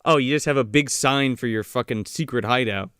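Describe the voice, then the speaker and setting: A man's voice: mid-range voice, brisk, a bit bright, smooth, dry recording, clean studio sound. A man co-hosting a podcast, chatting casually and profanely about a movie and acting out its scenes. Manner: profane, jokey, acts out scenes. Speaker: podcast co-host. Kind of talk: casual movie review chat.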